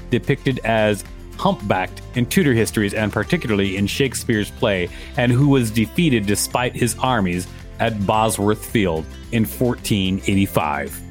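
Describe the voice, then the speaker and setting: A man co-hosting a podcast, reading an encyclopedia article aloud with casual asides. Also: fairly low pitch. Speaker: podcast co-host and reader.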